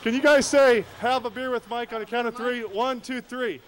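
A man's voice: very high-pitched, steady tone, normal speed, slight background noise. A brash, joking man hosting a prank show, doing informal street interviews and commentary on location. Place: on location out in public.

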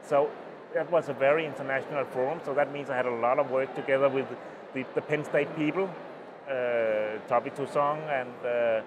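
A middle-aged Danish man with a Danish accent, giving an oral history interview at a conference and talking in halting phrases with many restarts. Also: mid-range voice, steady tone, noisy background. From Denmark. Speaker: interviewee, mycologist. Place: small booth at a conference, indoors. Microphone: lapel mic.

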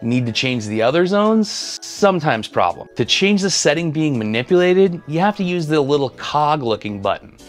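A man's voice: on the high side, very animated, normal speed, few pauses, soft background music. A man in his thirties giving a scripted gear review in a dry, matter-of-fact, slightly sardonic manner, recorded on a lapel mic indoors.

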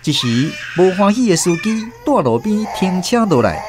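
Expressive Taiwanese male narrator over noisy ambience. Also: sits high, normal speed. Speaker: narrator and host. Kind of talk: scripted Taiwanese radio drama narration. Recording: noisy background sound effects.

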